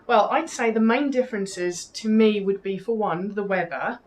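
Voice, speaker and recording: A young woman from South Africa with a South African accent, Afrikaans her first language, answering interview questions in a measured, orderly way, point by point. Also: medium pitch, slight background noise, rough recording. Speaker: interview guest, new presenter.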